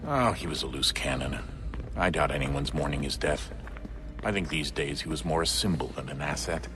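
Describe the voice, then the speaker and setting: An actor playing a steady middle-aged father and veteran of a secret order in a video game, measured and matter-of-fact. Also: a bass voice, music in the background. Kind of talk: video game cutscene acting.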